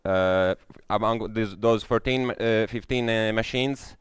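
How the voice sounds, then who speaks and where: A young man with an Italian accent gives a technical talk in a lecture room, pausing often on "uh" and restarting his sentences. His voice sits low and deep and comes through smooth and clear. The sound is close and dry, with little room in it. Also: no echo. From Italy. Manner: hesitant, many fillers and restarts. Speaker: conference speaker.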